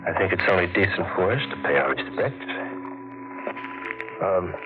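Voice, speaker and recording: A man's voice: low voice, animated, moderate pace. An actor playing a suspicious, probing male heir in a 1940s radio drama. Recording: tinny hissy old radio broadcast.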